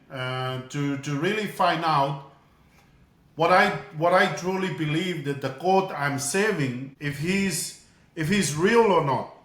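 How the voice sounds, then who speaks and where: A man's voice: a little high, fairly bright tone, reverberant. A middle-aged man from Tonga, a guest telling his story in an interview, earnest and often repeating words as he looks for them. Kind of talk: casual interview.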